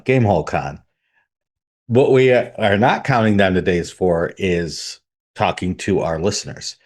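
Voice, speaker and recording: Guy with a lowish voice, chatty podcast host talk. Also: a little mellow.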